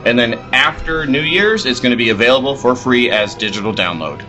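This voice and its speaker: A man's voice: medium pitch, animated, a little fast, pushed and bright, faint music behind, rough recording. A man co-hosting a podcast live at a convention in casual banter. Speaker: podcast co-host. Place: comic convention.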